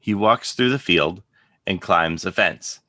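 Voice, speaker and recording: A man narrates a script's scene directions. His voice is very low and crisp-edged, recorded dry and close. He reads in measured, declarative sentences. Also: bright, forceful tone, no room sound.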